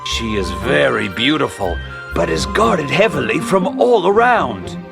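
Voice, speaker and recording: An actor playing a proud, earnest young brother in a fairy tale, with a very creaky voice. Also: male voice, fairly high pitch, big pitch swings, measured pace, full character voice. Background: clear music.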